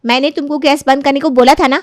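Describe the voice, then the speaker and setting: A woman's voice: on the high side, steady tone, moderate pace, dry recording, studio quality, natural voice. A woman voicing a polite, studious young daughter-in-law in a family story, speaking earnestly. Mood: upset. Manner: respectful, earnest.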